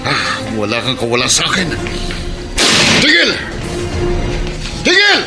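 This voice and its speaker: A man acting in a Filipino radio drama as a gruff, short-tempered policeman who scolds with contempt. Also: medium pitch, wildly swooping pitch, slow pace. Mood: angry, chasing. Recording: loud music under the voice.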